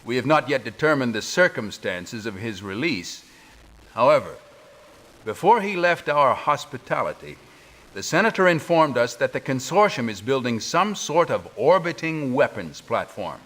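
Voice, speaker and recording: A middle-aged man playing an authoritative resistance commander giving a formal, deliberate briefing in a video game. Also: mid-range voice, sing-song, a bit bright. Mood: grave, formal.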